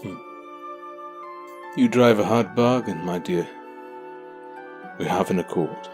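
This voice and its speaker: A man playing a weary, gentle old king in an audio drama, speaking in a formal, measured way. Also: low voice, over music. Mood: tender, resigned. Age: older.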